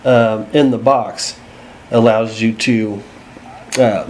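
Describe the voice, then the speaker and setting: A man's voice: on the low side, steady tone, slow, darkish tone, slight background noise, low-quality recording. A man with an American accent giving a casual, plain-spoken, unscripted walkthrough as a demonstrator, recorded indoors. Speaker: host demonstrating a radio. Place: indoors at home.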